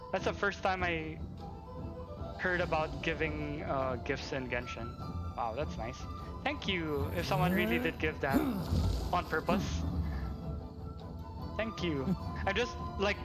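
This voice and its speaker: Male game streamer, higher-pitched, excitable and chatty, casual live delivery over clear game music. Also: unhurried, long pauses, poor audio. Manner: excitable, repeats thanks, chuckles often. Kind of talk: casual livestream chat. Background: game music and character voices.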